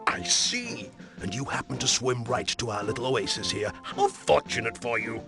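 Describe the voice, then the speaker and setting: A man voicing a smug, menacing island boss in a video game, mocking and theatrical, with drawn-out threats. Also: mid-range voice, animated, creaky, over music. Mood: mock courtesy.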